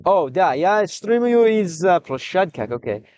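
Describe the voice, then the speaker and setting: A young American man chatting with his stream viewers, switching between English and halting Russian, pausing often as he reads the chat. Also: high voice, sing-song, darkish tone, no echo. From United States.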